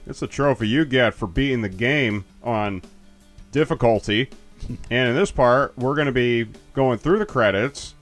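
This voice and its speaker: Man, casual game commentary, jokey and animated, faint music behind. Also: mid-range voice, a little slow. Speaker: let's play commentator.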